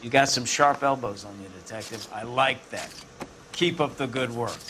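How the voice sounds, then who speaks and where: Forceful, commanding police captain, played by a man. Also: mid-range voice, moderate pace, slight background noise, close to an everyday voice. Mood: approving. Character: gruff, commanding middle-aged male police captain. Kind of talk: video game drama acting.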